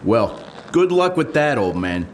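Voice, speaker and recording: An actor playing a smug, needling police detective in an audio drama, flippant and taunting. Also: male voice, mid-range voice, sing-song, unhurried, slight background noise. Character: needling, smug male police detective with a round red face. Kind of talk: full-cast audio drama acting.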